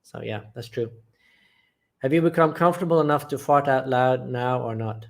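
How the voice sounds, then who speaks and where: A man's voice: medium pitch, normal speed, creaky. Blunt, matter-of-fact man from England. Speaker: channel host. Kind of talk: live chat reading viewer comments.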